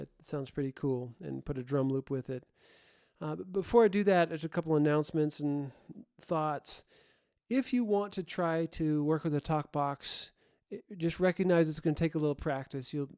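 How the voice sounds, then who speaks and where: Guy doing a casual tutorial, soft mellow clean voice, kinda halting, backs up and rephrases, really dry sound. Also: on the high side, smooth, dry recording. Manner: halting, thinking aloud, self-correcting. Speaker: host, audio production tutorial presenter. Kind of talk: informal explainer tutorial.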